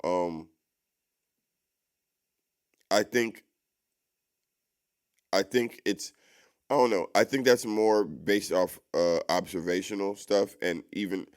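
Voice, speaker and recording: A man's voice: deep voice, slow, long pauses. A man co-hosting a casual discussion, arguing his points in a rambling, emphatic way. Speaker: podcast co-host.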